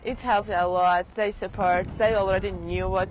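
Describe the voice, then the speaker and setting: A woman's voice: mid-range voice, steady tone, moderate pace. A young woman from Georgia, a college tennis player, answering interview questions carefully in simple phrases. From Tbilisi, Georgia. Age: young adult. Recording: dull audio with clear hiss.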